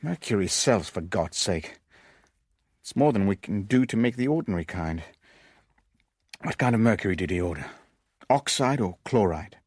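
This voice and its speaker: A man's voice: lowish voice, animated, normal speed, long pauses, a little mellow, slightly rough, no room sound. An actor playing a pragmatic, sceptical businessman in a radio drama, with a dry, understated way of talking. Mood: worried, grim. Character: pragmatic, wry male businessman, sceptical and worldly. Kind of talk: radio drama dialogue and first-person narration.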